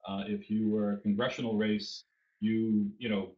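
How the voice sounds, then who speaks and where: Low Boston-accented man on a roomy call. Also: little pitch movement, normal speed. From Boston area. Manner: rambling with fillers and restarts. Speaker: guest. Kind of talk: interview answers. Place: home room. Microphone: video call webcam. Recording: roomy dull webcam audio.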